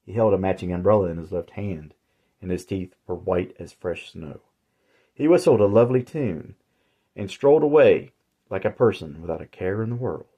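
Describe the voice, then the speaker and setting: A man narrating his own horror story in a measured, deliberate way. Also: deep voice, slightly rough, narrow, tinny audio. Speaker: author and narrator.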